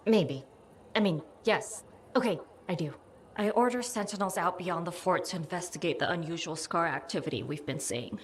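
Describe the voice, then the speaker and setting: Woman acting troubled officer, earnest n a bit halting, lower voice, game dialogue. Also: lively intonation, natural voice. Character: conscientious, troubled female military officer. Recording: music behind the voice.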